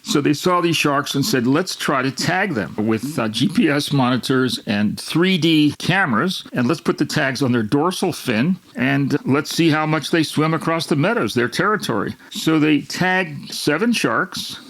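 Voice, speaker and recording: A man's voice: a little high, lively intonation, moderate pace, hardly any pauses, a bit bright. A man from Miami hosting a climate show, explaining in a rambling, unscripted way with frequent restarts. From Miami, Florida. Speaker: host.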